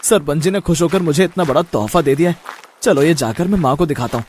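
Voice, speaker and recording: A man's voice: fairly high pitch, fast, darkish tone, slight background noise, close to an everyday voice. A man voicing a polite, hardworking young village son in a cartoon story, speaking in an earnest, exclaiming manner. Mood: happy. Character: hardworking, dutiful young samosa-maker son, eager and polite.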